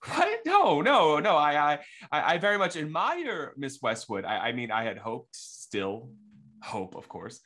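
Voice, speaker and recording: A man playing a nervous young suitor in a radio mystery, stammering and halting as he tries to please. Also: fairly high pitch, very animated, pushed and bright, heavily acted. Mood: nervous, defensive. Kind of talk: radio mystery acting, live online table read.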